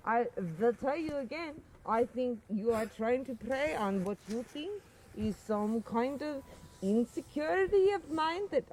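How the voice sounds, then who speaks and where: A woman's voice: fairly high pitch, a little mellow, a little hiss or hum, poor audio. A woman playing a Russian carer, deferential yet stubborn, with a rambling, emphatic and formal way of talking in improvised comedy. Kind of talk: improvised comedy role-play.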